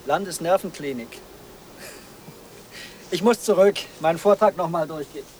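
An actor playing an officious, evasive conference organizer with a wordy, self-important manner in a radio drama. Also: male voice, a little high, moderate pace, darkish tone, close to an everyday voice. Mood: brisk. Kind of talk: German radio drama dialogue. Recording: clear background noise.

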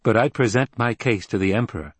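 A man's voice: on the low side, fairly quick, slightly rough, no echo. A male narrator voicing a proud young nobleman who speaks with commanding force. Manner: imperious, forceful delivery.

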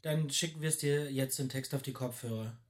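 A man's voice: mid-range voice, brisk. A man working as a recording director, giving informal, encouraging and slightly hesitant instructions.

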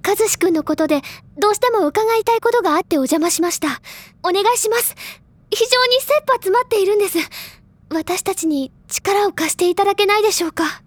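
A very high-pitched bookish schoolgirl. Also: a little fast, no room sound. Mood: earnest. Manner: bright, excitable and wistful, polite feminine speech. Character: bookish cheerful high-school girl, dreamy and eager. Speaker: book-loving older student. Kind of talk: anime drama CD acting.

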